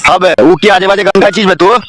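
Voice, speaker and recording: A man playing a gruff, irritable older village father in a comedy cartoon, scolding bluntly and crudely, with music behind. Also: high-pitched, steady tone, very fast, a bit bright, dry recording. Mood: angry.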